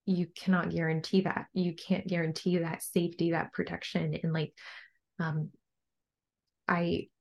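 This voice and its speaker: A young adult woman with a low voice, talking casually and earnestly as a coach being interviewed on a podcast. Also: level tone, a little slow, vocal fry. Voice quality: low-pitched voice. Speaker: bodybuilding coach and podcast guest.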